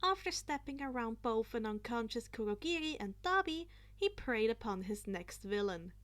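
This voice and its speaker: A woman narrating fan fiction aloud in an expressive, playful amateur style, now and then stumbling over a word. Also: fairly high pitch, moderate pace. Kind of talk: expressive amateur story reading.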